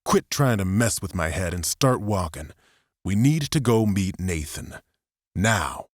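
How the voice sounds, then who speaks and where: A determined male soldier acted by a man in an audiobook drama. He sounds firm, impatient and argumentative, with a fairly low voice in a very dry recording. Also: expressive, a little slow, no echo.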